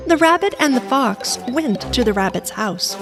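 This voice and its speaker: High, animated woman storyteller. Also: moderate pace, over music. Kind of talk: scripted children's bedtime story narration.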